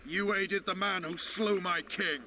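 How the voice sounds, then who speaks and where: Gruff military commander amid noise. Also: male voice, sits high, steady tone, measured pace, bright, forceful tone, full character voice. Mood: angry, challenging. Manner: curt, commanding, sardonic. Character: gruff, crude, authoritative special forces commander. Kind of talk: video game acting.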